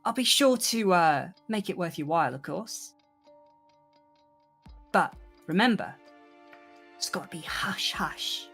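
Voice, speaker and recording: A woman's voice: on the low side, lively intonation, slow pace, pauses often, fairly bright tone, husky, soft background music, full character voice. A woman voicing a cheeky, streetwise woman, coaxing street kids in chummy slang for a tabletop game. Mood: coaxing, sly.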